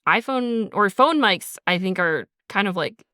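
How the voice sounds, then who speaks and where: A woman's voice: medium pitch, animated, unhurried, pushed and bright, clear, resonant tone, no room sound, clean studio sound. A woman co-hosting a podcast in an informal, encouraging way, chatting casually with lots of "like". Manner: informal, encouraging, frequent "like" and "sort of". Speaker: podcast co-host and sound designer. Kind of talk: casual conversational podcast talk.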